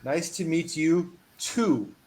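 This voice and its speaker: A man teaching a one-on-one English lesson over a video call, patient and encouraging, reading model phrases with lively emphasis. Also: on the high side, slow pace, slight background noise. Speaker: English tutor.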